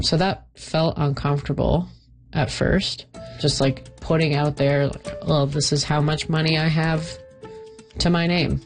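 Female guest, very low and slow, gravelly, deliberate delivery. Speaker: interview guest. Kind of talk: reflective interview.

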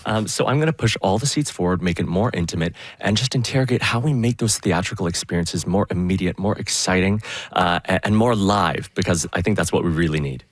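Man, Canadian accent, low voice, close dry sound, enthusiastic theatre director interview. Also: fairly quick, no room sound.

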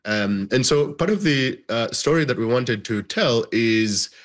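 A man's voice: medium pitch, animated, unhurried. A man from Uganda, a documentary filmmaker, giving thoughtful interview answers and often checking in with "right?".

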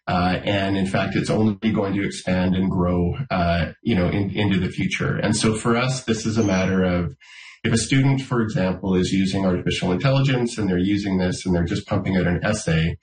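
A man with a low voice speaks in a measured, deliberate way, often pausing on "uh" and "um". His voice rings in a roomy, echoey space. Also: a little mellow. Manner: measured, filler-heavy explanations. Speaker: school district official. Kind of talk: radio interview answers.

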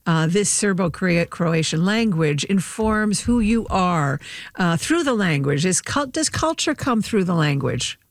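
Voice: medium pitch, animated, unhurried, dry recording, clean studio sound. A radio host introducing callers and asking questions, with frequent fillers. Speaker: radio call-in show host. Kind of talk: hosting a call-in radio show.

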